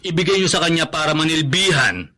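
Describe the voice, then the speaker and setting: An actor playing a stern agent of a moneylender, blunt and demanding, in a Filipino radio drama with a Filipino accent. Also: male voice, fairly high pitch, fast, sharp tone.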